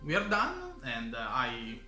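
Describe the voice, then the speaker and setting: A man with an Italian accent explaining board game rules in English as a channel host, halting with many fillers and restarts. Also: mid-range voice, huge pitch swings, bright, forceful tone. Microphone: camera microphone. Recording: roomy camera-mic audio with faint music.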